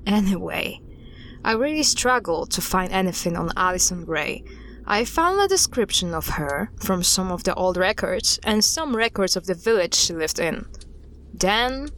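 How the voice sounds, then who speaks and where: A woman's voice: fairly low pitch, expressive, measured pace, a bit bright. Woman acting as guarded investigator over noisy ambience. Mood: measured, guarded. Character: guarded woman investigator recounting an unsettling case. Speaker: voice actor in an audio drama. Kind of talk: audio drama dialogue, storytelling.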